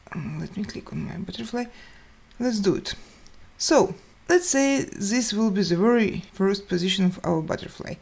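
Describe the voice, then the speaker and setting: A woman hosting a step-by-step software tutorial, speaking in short, halting phrases with pauses. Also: medium pitch, creaky, slightly rough. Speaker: tutorial host.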